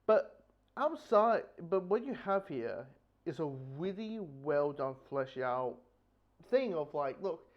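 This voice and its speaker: A man giving a casual, rambling review, with halting delivery, frequent false starts and repeated words. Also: fairly high pitch, big pitch swings. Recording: dull lo-fi microphone sound.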